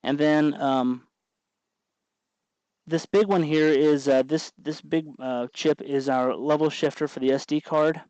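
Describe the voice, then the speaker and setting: Halting man giving a hands-on tutorial. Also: fairly high pitch, fairly even intonation, a little mellow, clear, resonant tone, no echo, lo-fi sound. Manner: halting, informal, thinking aloud as he explains. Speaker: host of an electronics tutorial show. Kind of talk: unscripted hands-on tutorial narration.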